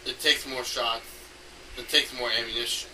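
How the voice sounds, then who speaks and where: A man with a strikingly sharp, bright voice talks off the cuff in a hesitant, rambling way, working out his ideas aloud. His pitch sits in a middle range. He sounds set back from the microphone in an amateur recording. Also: steady tone. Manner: hesitant, halting, thinking aloud. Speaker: amateur game designer recording an audio log. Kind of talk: unscripted rambling explanation. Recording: noisy home recording, distant from mic.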